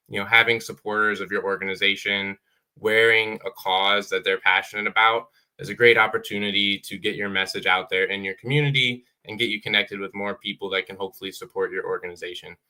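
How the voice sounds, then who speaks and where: A man's voice: on the low side, steady tone, fairly quick, pushed and bright, rough recording. A man in his thirties from Ohio, a business owner telling his story earnestly with lots of "uh" fillers on a video call. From Dayton, Ohio. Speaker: apparel business owner guest. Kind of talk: casual panel chat.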